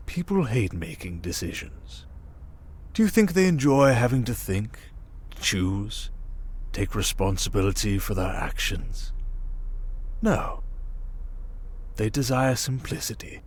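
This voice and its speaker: A man playing a smug, manipulative criminal mastermind in an ASMR roleplay, calm and condescending, coaxing with endearments and soft breathy murmurs. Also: on the low side, slow pace, long pauses, heavily acted. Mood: persuasive, contemptuous. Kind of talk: ASMR roleplay monologue, dramatic.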